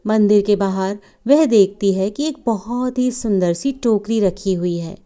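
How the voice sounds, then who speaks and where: A woman's voice: mid-range voice, unhurried, dark tone. A woman narrating a scripted children's story as a storyteller.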